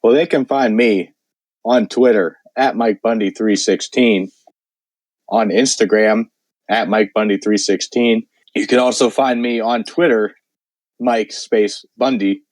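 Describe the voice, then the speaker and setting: A man with a lowish voice chats casually on a podcast, rambling and leaning on fillers. His voice sounds worn and hoarse. The sound is dry and close. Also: steady tone, measured pace, darkish tone, dry recording. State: losing his voice. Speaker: podcast host. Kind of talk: casual chat.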